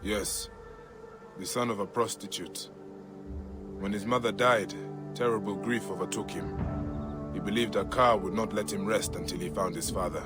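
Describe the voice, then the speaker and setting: A man acting as a grave Egyptian investigator in a video game, with a fairly low voice. He speaks in measured, brooding phrases, steady and compassionate, with music playing clearly underneath. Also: natural voice. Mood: sombre. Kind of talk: video game acting, part dialogue, part musing aloud.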